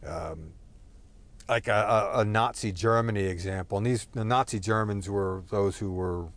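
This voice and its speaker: A man's voice: lowish voice, normal speed. A man from Texas talking in a rambling, profane monologue full of fillers and digressions. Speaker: solo talker.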